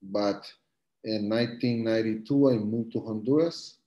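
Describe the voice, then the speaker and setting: A man from Guatemala, a coffee farmer, answering interview questions over a video call in halting English with many fillers. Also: on the low side, roomy sound, highs cut off. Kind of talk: live-stream interview answers.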